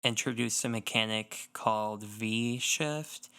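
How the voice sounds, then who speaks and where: A man co-hosting a casual podcast, talking through his opinions in an explanatory, measured way. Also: on the low side, creaky, sharp tone, clean tone. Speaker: podcast co-host. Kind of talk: casual gaming podcast chat.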